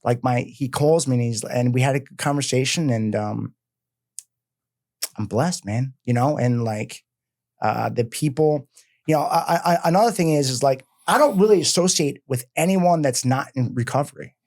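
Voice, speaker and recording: Philly guy in his 40s on a podcast, high energy, rambles, lots of "like" and "right?". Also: normal speed. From Philadelphia. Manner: energetic, rambling, filler-heavy. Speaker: guest. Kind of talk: casual interview talk. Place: podcast studio.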